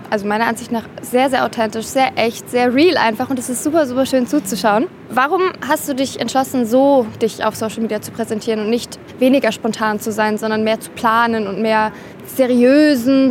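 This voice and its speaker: A woman's voice: a little high, fairly even intonation, a little fast, a little hiss or hum. A woman asking informal interview questions in a friendly, conversational way.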